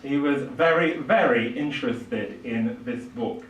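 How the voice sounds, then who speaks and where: Older male lecturer, halting and thoughtful phrasing, mid-pitched, heard at a distance in a reverberant small room. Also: fairly bright tone. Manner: hesitant, halting delivery with frequent pauses. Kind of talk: public lecture, lightly improvised. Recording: echoey room, distant microphone.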